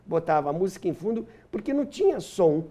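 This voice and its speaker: A man's voice: high voice, sing-song, fast talker, dark tone. An older Brazilian man telling anecdotes as an interview guest, emphatic and repeating words as he searches for the next one. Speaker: interview guest, radio historian and author.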